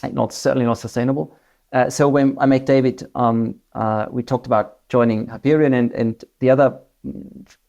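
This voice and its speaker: A man's voice: medium pitch, mellow. German-born man with an Australian twang. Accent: German with Australian English. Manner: hesitant, many fillers and restarts. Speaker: managing director, interview guest. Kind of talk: business interview.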